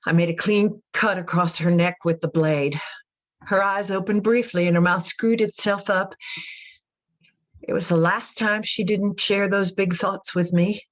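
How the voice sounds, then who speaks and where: A woman playing a haunted Southern mother in a radio drama monologue, telling her confession in a folksy, intense, deliberate way. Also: mid-range voice, steady tone, muffled recording, close to an everyday voice. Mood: haunted, grim.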